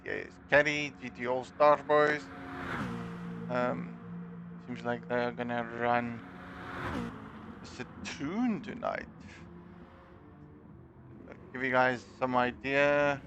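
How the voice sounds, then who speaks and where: A man's voice: medium pitch, fairly even intonation, takes it slowly, long pauses, highs cut off, background noise. A middle-aged man with a Dutch accent hosting a live sim racing stream, talking in a loose, self-deprecating way with plenty of fillers through a headset. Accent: Dutch-accented English. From South Africa. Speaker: stream host and league admin. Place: home room at a desk. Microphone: gaming headset.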